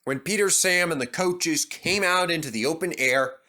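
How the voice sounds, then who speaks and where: A man's voice: on the high side, normal speed, pushed and bright. A man reading a children's story as a scripted narrator.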